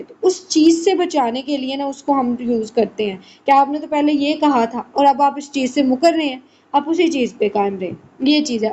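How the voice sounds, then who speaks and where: Female law lecturer, high register, reads and explains; slightly dull, lightly noisy audio. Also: dark tone. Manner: switches between slow English reading and conversational Urdu explanation. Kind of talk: explanatory lesson, reading out statutes and glossing them. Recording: muffled lo-fi audio with faint noise.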